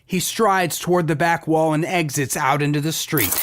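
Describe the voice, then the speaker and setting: A man's voice: fairly high pitch, normal speed. A man reading scripted story narration in an audio drama. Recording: loud music under the voice. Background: music.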